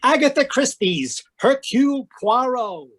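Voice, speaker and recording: A man's voice: very high-pitched, expressive, measured pace, a bit bright, soft background music, heavily acted. A man announcing an old-time radio mystery show in a grand, declaiming style over a video call. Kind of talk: 1940s radio acting.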